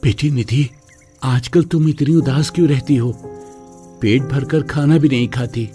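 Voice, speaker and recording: Kindly middle-aged dad acted by a man, mid-pitched, subdued mellow tone, cartoon moral tale over music. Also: measured pace, close to an everyday voice. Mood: concerned.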